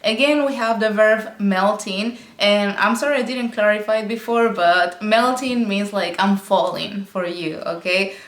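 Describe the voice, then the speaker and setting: A woman's voice: medium pitch, measured pace, hardly any pauses, echoey room. A woman teaching Arabic by explaining song lyrics line by line, patient and explanatory, often checking in with "okay?".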